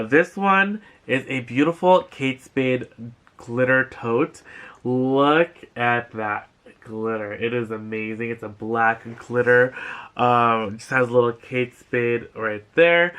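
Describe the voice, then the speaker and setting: A young man with a bright, forward voice chats straight to the camera from a living room couch. He gushes over each find in a loose, rambling way, with plenty of fillers. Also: mid-range voice, smooth. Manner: gushing and enthusiastic, rambling with many fillers. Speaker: thrift haul vlogger. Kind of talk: casual haul vlog.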